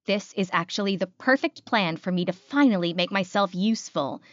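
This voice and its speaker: A woman's voice: medium pitch, fairly quick, no echo, muffled recording, natural voice. A woman voicing a jealous, scheming female werewolf in first-person audiobook narration. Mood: scheming, resentful.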